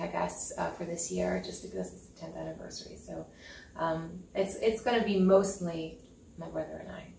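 A Norwegian woman in her thirties talks casually about her plans, full of ums, restarts and little laughs. Her voice sits low with a gravelly edge. She is picked up from across an echoey living room, with a faint hiss underneath. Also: a little mellow. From Norway. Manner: chatty, giggly, lots of ums and restarts. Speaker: interview guest, concert pianist. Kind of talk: casual interview chat. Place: home living room with piano, indoors. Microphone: far camera mic. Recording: echoey room, mic at a distance.

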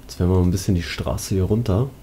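Low, mellow male let's player. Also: quick pace, slight background noise. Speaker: gaming YouTuber, let's player. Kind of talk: casual let's play commentary.

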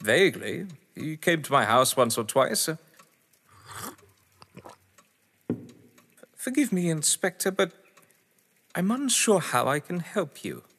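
An actor playing a haughty, well-to-do English gentleman in an audio drama, florid and evasive, with an English accent. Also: a little high, big pitch swings, normal speed, a little hiss or hum. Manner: pompous, florid, theatrical. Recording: reverberant hall with music behind.

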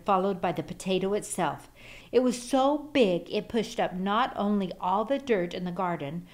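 A woman reading a children's picture book aloud as an expressive storyteller. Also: medium pitch.

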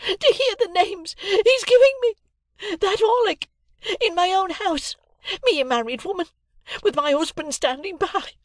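A performer voicing a scolding, theatrically hysterical married woman with a shrill voice in a classic novel audiobook. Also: slow, few pauses, bright, forceful tone, no echo, heavily acted. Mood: hysterical fury. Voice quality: shrill, shrieking. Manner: scolding, self-inflaming, exclamatory.

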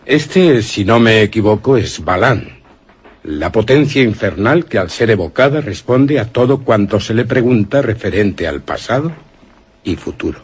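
An actor playing a curious, courteous, formal and wry castle visitor in a suspense radio drama. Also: male voice, lowish voice, expressive, measured pace, slightly rough.

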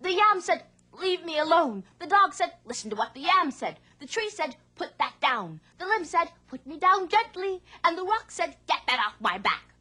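A woman's voice: very high-pitched, very animated, moderate pace, bright, forceful tone, voice pushed up, heavily acted. A woman voicing a terrified farmer who babbles about everything talking to him. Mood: panicked. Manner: breathless, panicked wailing. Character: frightened male farmer, panicky.

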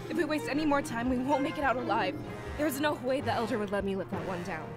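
A woman's voice: fairly high pitch, animated, quick pace, over loud music, natural voice. A woman voicing a hot-headed, impatient anthropomorphic cat woman in a video game, brusque and scolding.

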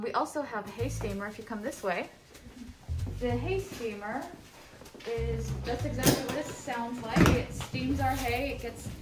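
A woman giving an informal explanatory tour and asking questions, recorded on a phone inside a barn. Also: fairly high pitch. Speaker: stable tour guide, interviewer. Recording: roomy echo, music behind.

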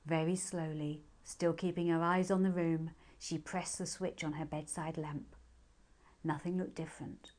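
A woman's voice: low-pitched, flat delivery, a little slow, a little mellow. A woman with a British accent reading storybook narration aloud expressively for children.

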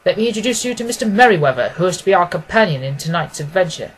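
A man's voice: high-pitched, animated, very fast, breathy edge, low-quality recording. A man voicing a clever, commanding detective in a storybook, confident, severe and precise. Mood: brisk, assured.

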